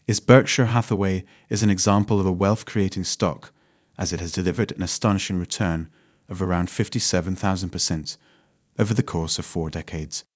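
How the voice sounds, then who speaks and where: A man reads a finance script in a steady, even voice that lifts a little at the start of each new point. His pitch sits on the low side. The recording is close and dry, with no room sound. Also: a little fast, dry recording. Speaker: narrator of a finance channel. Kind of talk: scripted narration.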